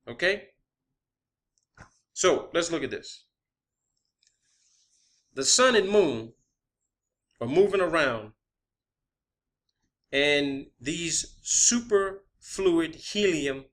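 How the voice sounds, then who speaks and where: A man's voice: on the high side, lively intonation, slow pace, pauses often, fairly bright tone. A man giving an informal, emphatic solo explanation, swearing freely and repeating phrases for stress. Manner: checks in often with "okay" and "all right". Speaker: video creator presenting own theories. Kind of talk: informal monologue rant and explanation.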